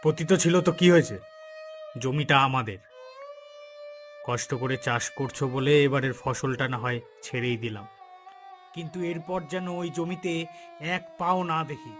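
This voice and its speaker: A man's voice: mid-range voice, normal speed, long pauses, over music, natural voice. A man voicing a grasping, threatening older brother in a cartoon drama. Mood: menacing. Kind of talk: cartoon villain voice acting.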